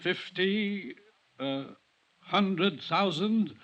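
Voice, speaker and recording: An actor playing a proud, genteel rich husband in a 1950s radio drama, formal, protective and menacing. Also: male voice, high voice, very slow, a bit bright, muffled recording, exaggerated voice. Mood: haughty menace. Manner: formal, haughty, theatrical. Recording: noisy 1950s radio recording.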